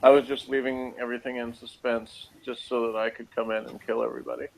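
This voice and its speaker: A man's voice: medium pitch, monotone, fast, creaky, a little mellow, a little hiss or hum, poor audio. Sarcastic man in casual review chat. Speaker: co-host of a TV review show.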